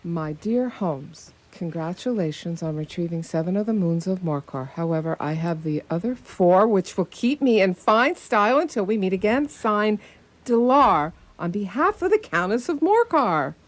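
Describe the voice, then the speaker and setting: A woman's voice: medium pitch, lively intonation, moderate pace, natural voice. A woman playing a droll, teasing detective's sidekick in a live radio comedy. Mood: dry, measured.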